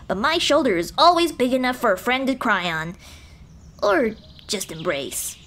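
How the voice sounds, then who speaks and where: A woman voicing a small, shy, kind-hearted goblin girl in an ASMR roleplay, speaking hesitantly and gently to comfort the listener. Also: on the high side, slight background noise. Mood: warm, comforting. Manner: hesitant, timid, soft-hearted, halting. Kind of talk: ASMR roleplay, intimate one-sided dialogue. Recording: birdsong and outdoor ambience behind voice. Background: birdsong, nature ambience.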